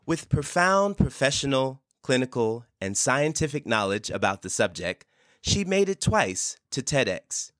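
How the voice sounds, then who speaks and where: A man hosting an interview podcast, introducing a guest and asking warm follow-up questions over a remote call. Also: mid-range voice, measured pace, no room sound. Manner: lively intonation, supportive listener. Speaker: podcast host.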